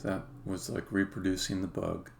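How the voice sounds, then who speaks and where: A man doing casual live-coding commentary, thinking aloud in a halting mutter as a game developer on a stream. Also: sits low, flat delivery, vocal fry. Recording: faint noise, keyboard typing and clicks. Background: computer keyboard typing and clicking.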